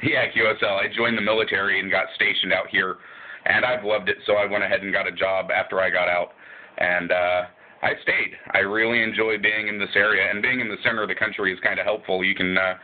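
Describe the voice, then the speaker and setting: A man talking as a ham radio operator, relaxed and easygoing, laughing now and then as he spells call signs phonetically. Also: fairly low pitch, fairly quick, phone-quality sound. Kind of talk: radio contact chat.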